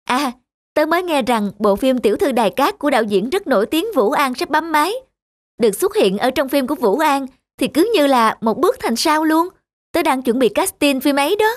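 A woman voicing a vain, ambitious young woman student actress in an animated series, talking in a boastful, dismissive way. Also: high voice, a little fast, dry recording, studio quality. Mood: scornful. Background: background music.

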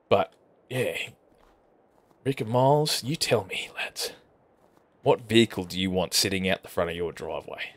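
Rambling, swearing Australian gamer man. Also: on the low side. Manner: rambling, swears freely, chats to viewers. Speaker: gaming streamer. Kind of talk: casual let's-play commentary while driving.

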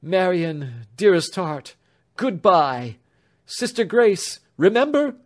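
An earnest young man speaks with fervent tenderness, his voice raised and singing up and down, as a man reads him aloud in a storybook. Each phrase rises high and emotional. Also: big pitch swings, takes it slowly, heavily acted.

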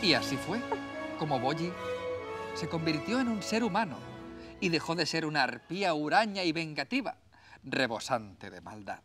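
A man's voice: a little high, sing-song, moderate pace, fairly bright tone, soft background music. An actor from playing a mock-solemn male storybook narrator in a comedy sketch.

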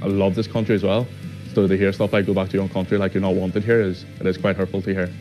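A man's voice: low-pitched, level tone, fast talker. A seventeen-year-old young man giving a personal testimonial for a video. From Nigeria, raised in Northern Ireland. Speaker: programme participant. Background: guitar music.

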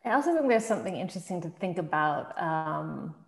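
Moderator: woman, deep and gravelly voice, hesitant and searching, echoing room. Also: big pitch swings, brisk. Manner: hesitant, searching, many fillers and restarts. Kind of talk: thoughtful questioning on a video call. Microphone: video call. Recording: roomy video-call audio.

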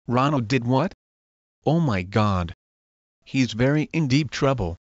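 A man's voice: mid-range voice, expressive, a little slow, no echo, lo-fi sound. A synthetic man doing a shocked parent. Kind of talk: computer-voiced fan cartoon.

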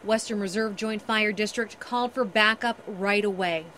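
A woman's voice: medium pitch, moderate pace, pushed and bright. An American woman in her thirties giving a television news report from the field. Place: outdoors by farm fields. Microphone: handheld reporter microphone. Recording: light outdoor background noise.

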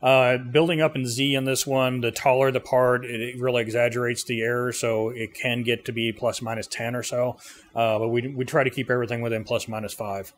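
A middle-aged man giving an informal technical explanation in interview answers, plain-spoken and pausing as he goes. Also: mid-range voice, little pitch movement. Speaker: service bureau co-owner explaining his machine. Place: indoor 3D printing workshop. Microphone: handheld interview mic held by interviewer. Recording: clearly noisy machine-room ambience.

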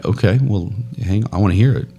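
A man in his thirties with a very deep voice, chatting casually as a podcast co-host. He talks into a close studio boom mic in a home room, rambling through stories and laughing often. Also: quick pace, vocal fry, mellow, husky. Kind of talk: casual chat. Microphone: boom studio mic with headphones.

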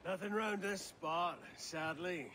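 A swaggering veteran pirate captain in a video game. He talks in salty seafarer phrases with a sharp, forceful edge. Faint shipboard noise sits behind him. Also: sits high, slow pace, full character voice. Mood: hearty. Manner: salty colloquial seafarer talk. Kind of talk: video game cutscene acting.

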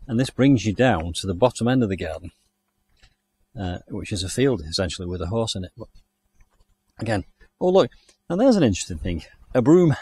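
A man's voice: on the low side, big pitch swings, brisk, mellow, a little hiss or hum. Chatty man walking a garden. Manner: chatty, enthusiastic, thinking aloud. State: hot and overdressed while walking. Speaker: garden tour vlogger. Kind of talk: walking tour narration. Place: outdoors in a large country garden. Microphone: handheld camera mic, walking.

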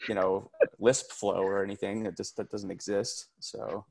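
A man's voice: on the low side, little pitch movement, moderate pace, gravelly, a little mellow, slightly rough, rough recording. A man in casual video call discussion, rambling with many fillers. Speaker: meetup participant.

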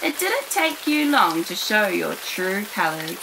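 A woman's voice: mid-range voice, big pitch swings, a little slow, gravelly, background noise. A woman voicing a jolly, amused old elephant in a children's storybook, speaking expressively.

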